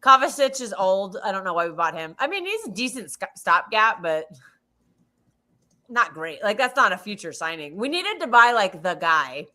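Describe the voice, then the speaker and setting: A woman's voice: medium pitch, big pitch swings, moderate pace, bright, forceful tone. An American woman co-hosting a live football watch-along, chatting casually with frequent restarts. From United States. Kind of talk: casual chat.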